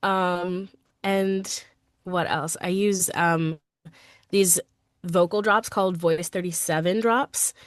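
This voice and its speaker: A woman's voice: lowish voice, fairly even intonation, takes it slowly, no echo, clean studio sound. A woman voice actor talking in a casual podcast interview, chatty and upbeat with plenty of ums and likes. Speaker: voice actor guest.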